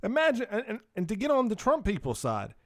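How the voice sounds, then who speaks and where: A man's voice: high voice, wildly swooping pitch, a little fast, no echo, clean studio sound. A man hosting a casual podcast discussion, slangy and informal, prodding the others with questions. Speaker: podcast host steering the discussion. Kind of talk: casual political banter.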